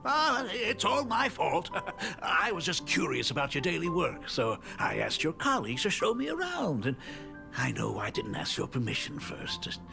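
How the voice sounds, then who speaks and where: Creaky, animated, awkward father. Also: on the high side, moderate pace, exaggerated voice. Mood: sheepish. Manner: hesitant, effusive. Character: awkward, eager, apologetic middle-aged father. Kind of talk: video game voice acting. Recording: music behind voice.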